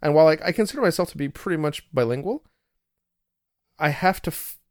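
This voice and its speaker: A man's voice: a little high, a little fast, no echo, clean studio sound. A man co-hosting a hobby podcast, chatting casually with frequent restarts. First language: not English. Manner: relaxed, rambling, with frequent restarts. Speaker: podcast co-host. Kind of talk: casual chat.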